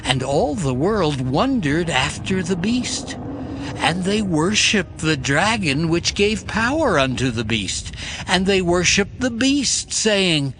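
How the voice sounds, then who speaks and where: A man narrating a dramatized scripture reading in a slow, solemn, deliberate manner. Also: sits high, big pitch swings, background noise. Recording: music bed underneath.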